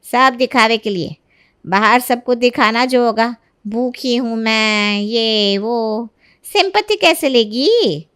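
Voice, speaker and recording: A woman's voice: a little high, animated, slow pace, fairly bright tone, smooth. A woman voicing a jealous, sarcastic middle-aged aunt who grumbles pettily in an acted storybook tale. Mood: scornful. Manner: sarcastic, complaining. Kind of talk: acted Hindi storybook narration.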